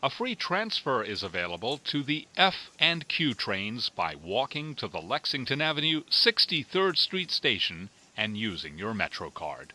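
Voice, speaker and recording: Animated male subway announcer. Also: medium pitch, moderate pace, hardly any pauses, low-quality recording. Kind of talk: scripted recorded transit announcements.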